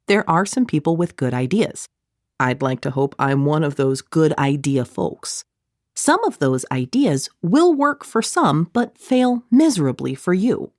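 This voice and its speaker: A woman narrates an audiobook in a slightly low voice that swings widely in pitch. Her reading is scripted yet conversational, full of wry, snarky asides. The sound is dry and close, with very little room in it. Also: darkish tone, dry recording. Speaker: author reading own audiobook.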